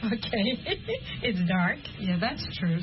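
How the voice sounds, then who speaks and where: A woman's voice: medium pitch, sing-song, highs cut off, background noise. A woman hosting a radio chat, guiding callers patiently through a conversation. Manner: encouraging, guiding the callers slowly. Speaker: radio host.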